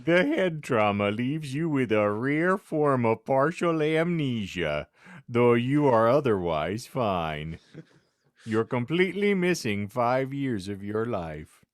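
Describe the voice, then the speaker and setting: A man co-hosting a casual podcast, bantering and reading aloud with deliberate emphasis and joking asides. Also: mid-range voice, no echo, studio quality. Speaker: podcast co-host.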